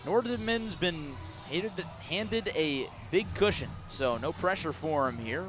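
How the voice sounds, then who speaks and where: An American man calling a baseball game live on the radio, describing play in measured, short clipped phrases. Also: a little high, lively intonation, a bit bright, faint music behind. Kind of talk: live radio sports commentary.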